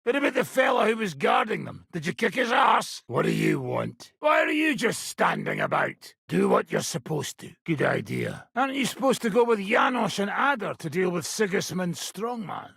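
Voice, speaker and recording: A man voicing a gruff, sardonic middle-aged mercenary bandit in a video game, with a raspy, gravelly voice and a blunt, coarse, mocking manner. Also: sits high, very animated, normal speed, few pauses, a bit bright, dry recording, studio quality, exaggerated voice. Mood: irritated, harsh.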